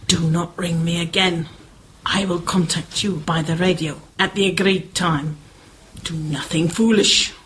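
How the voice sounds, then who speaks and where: A woman's voice: on the low side, fairly even intonation, measured pace, background noise, natural voice. A woman playing a cold, menacing criminal schemer in a radio play, with clipped, formal, commanding speech. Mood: cold, threatening.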